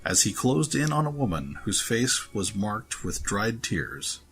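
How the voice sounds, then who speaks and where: Very deep-voiced male story narrator. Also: big pitch swings, unhurried, fairly bright tone, soft background music. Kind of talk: scripted fantasy story narration.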